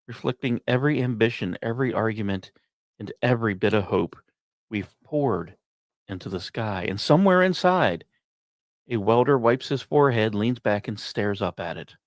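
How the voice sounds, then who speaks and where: A man hosting a podcast, reading scripted narration in a dramatic storytelling manner with pauses and wry, casual asides. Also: mid-range voice, dry recording, studio quality. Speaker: podcast host.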